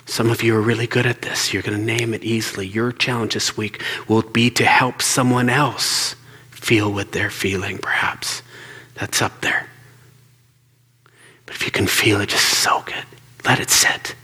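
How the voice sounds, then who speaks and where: A man guiding listeners through a calm reflection in a soft, coaching way. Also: on the low side, a little slow, husky. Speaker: meditation guide. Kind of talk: guided reflection.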